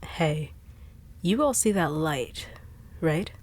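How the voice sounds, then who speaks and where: A woman voicing a fast-talking, no-nonsense, teasing bodyguard in an audio roleplay. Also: low-pitched, animated, a little mellow, full character voice. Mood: dry. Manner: dry, teasing, blunt. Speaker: voice actor.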